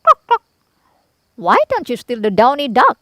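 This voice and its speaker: A woman's voice: a little high, huge pitch swings, normal speed, bright, forceful tone, no echo, clean studio sound, pitched above the performer's own voice, heavily acted. A woman voicing a wily, plump female hen in a storybook, with a coaxing, crafty manner. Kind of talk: storybook character voice.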